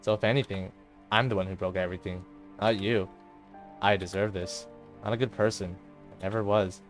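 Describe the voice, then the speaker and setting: A despairing teenage girl, a club president weighed down by guilt acted by a man. The voice is quiet and hesitant over music, in the style of visual novel drama. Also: lowish voice, brisk, close to an everyday voice. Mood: guilty. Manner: subdued, hesitant, self-blaming.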